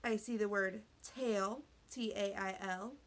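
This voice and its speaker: A woman teacher giving a read-aloud phonics lesson to young children, speaking deliberately, spelling out words and asking questions. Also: medium pitch, fairly even intonation. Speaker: elementary school teacher.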